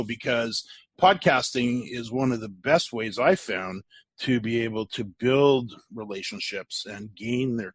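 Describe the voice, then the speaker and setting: Enthusiastic middle-aged podcast host. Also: male voice, medium pitch, slightly rough, no room sound. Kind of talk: podcast interview hosting.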